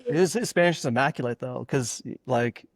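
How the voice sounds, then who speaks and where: A man's voice: mid-range voice, normal speed, no echo. Easygoing, wisecracking male co-host; dry recording. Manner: laid-back, joking, filler-heavy. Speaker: podcast host. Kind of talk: casual podcast chat.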